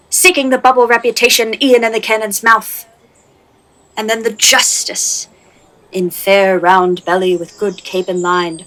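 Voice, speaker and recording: A woman's voice: a little high, fairly bright tone, rough recording. Woman acting wry, melancholy philosopher. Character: reflective, wry, melancholy philosopher in Shakespeare's forest. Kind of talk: Shakespearean monologue for radio play.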